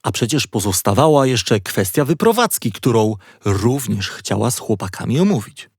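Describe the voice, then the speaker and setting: A man narrating a children's story in an expressive, playful storytelling manner. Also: medium pitch, moderate pace, slightly rough, no room sound, clean studio sound.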